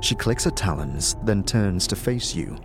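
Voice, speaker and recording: A man's voice: fairly low pitch, animated, a little slow. A man narrating a scripted fantasy audio drama in the manner of a storyteller. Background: music.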